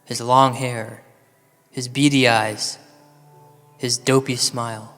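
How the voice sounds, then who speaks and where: A man telling a comedic ghost story as a storyteller, deadpan and hesitant, with mock-gothic dramatic pauses. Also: medium pitch. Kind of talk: slow comedic ghost-story narration, part verse recital. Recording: long hall reverb over music. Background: music.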